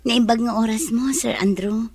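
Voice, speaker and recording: High-voiced dutiful young woman. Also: fairly even intonation, moderate pace, a little mellow, husky. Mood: friendly. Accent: Ilocano. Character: dutiful, friendly young woman working abroad. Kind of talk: Philippine radio drama acting.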